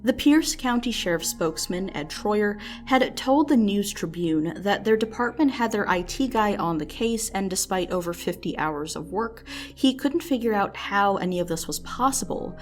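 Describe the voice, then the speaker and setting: A woman narrating a scripted true-mystery video as its host, in a measured storytelling manner with dramatic emphasis, close on the mic. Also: mid-range voice, expressive, faint music behind.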